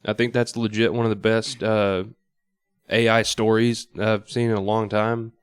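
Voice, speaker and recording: A man's voice: on the low side, little pitch movement, normal speed, clear, resonant tone, no room sound, studio quality. A man chatting casually as a podcast guest, with a blunt, wry way of talking. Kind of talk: casual chat.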